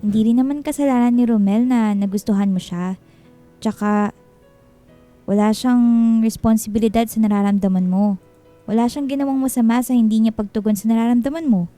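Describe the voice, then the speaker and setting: Woman acting as a frank, caring best friend, high-pitched. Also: a little fast, pauses often, mellow, faint music behind, lightly acted. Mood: earnest advising. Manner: candid, consoling, advising. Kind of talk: Filipino radio drama acting.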